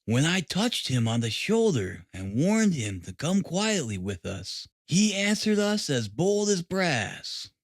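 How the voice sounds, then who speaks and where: A man's voice: on the high side, sing-song, slow pace, few pauses, no echo, studio quality, heavily acted. A man voicing a pompous police detective in an audiobook, formally and smugly laying out his case. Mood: self-satisfied. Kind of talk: audiobook character reading.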